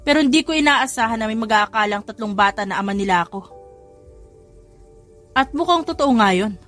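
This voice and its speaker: An earnest young man in a romance audio drama , read by a woman. The delivery is insistent and full of feeling, in a fairly high voice with music underneath. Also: lively intonation, fairly quick, a bit bright. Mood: calm, persuasive.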